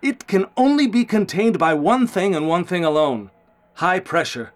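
A haunted scientist in a radio drama, his voice pitched somewhat high. He speaks in formal, measured sentences, ominous and heavy with guilt. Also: lively intonation. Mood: ominous warning. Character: grave, guilt-ridden male scientist confessing catastrophe.

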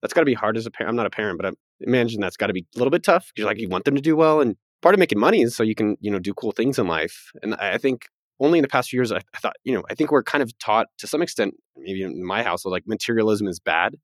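A man in his late thirties hosting a casual podcast conversation, quick, joking and self-deprecating. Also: lowish voice, animated, no echo, clean studio sound. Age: 30s. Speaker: podcast host, business founder.

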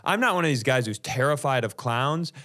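A man's voice: mid-range voice, animated, fairly quick, sharp tone. A man co-hosting a comedy podcast, telling casual funny stories and laughing often as he goes. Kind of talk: casual comedic chat, storytelling.

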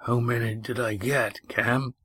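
A man voicing a huge, tough village fisherman who talks in a gruff, blunt way. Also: medium pitch, fairly even intonation, unhurried, bright, forceful tone, dry recording.

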